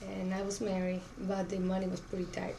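A woman's voice: medium pitch, monotone, fairly quick, warm tone, low-quality recording. A middle-aged woman from Cuba, a Spanish speaker, answering questions in an oral history interview. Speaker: interviewee, auto plant worker. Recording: clear background noise.

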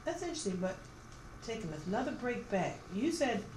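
A woman's voice: fairly high pitch, sing-song, brisk. A woman conducting a recorded oral history interview as the interviewer, asking questions. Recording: echoey room, clear background noise.

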